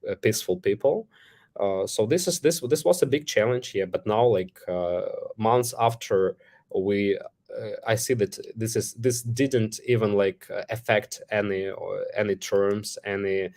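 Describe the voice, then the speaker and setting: Male CEO from Ukraine, slightly low voice, hesitant delivery full of fillers, live Q&A host. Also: darkish tone. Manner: halting, many fillers and restarts. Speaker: company CEO hosting a Q&A. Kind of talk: live online Q&A answering questions.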